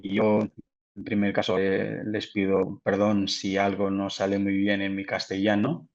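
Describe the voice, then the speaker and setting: A man's voice: lowish voice, completely flat delivery, a little slow. A Portuguese man, a native Portuguese speaker with a Portuguese accent, presenting a technical webinar carefully, with frequent restarts. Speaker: product manager presenting. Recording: dull lo-fi audio.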